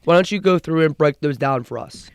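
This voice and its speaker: Young male podcast co-host with a stutter, earnest, higher pitch, smooth resonant tone, dry sound. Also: a little fast, no room sound. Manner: earnest, with restarts and repeated words. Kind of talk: casual sports chat.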